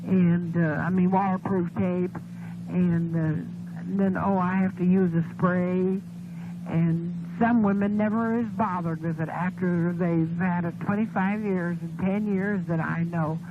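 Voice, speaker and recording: A woman's voice: on the low side, fairly even intonation, unhurried. An older woman phoning a radio show, chatting in a rambling, folksy way with rural turns of phrase, over a noisy, tinny telephone line. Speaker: radio phone-in caller. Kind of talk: casual personal phone chat. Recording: noisy, tinny phone call on 1970s radio.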